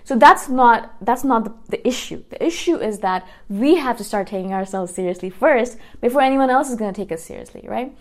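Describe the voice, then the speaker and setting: Earnest woman in her thirties, fairly high voice. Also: animated, a little fast, few pauses. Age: 30s. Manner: earnest, repeats words and restarts often, asks "right?". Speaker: YouTuber. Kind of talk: casual monologue to viewers.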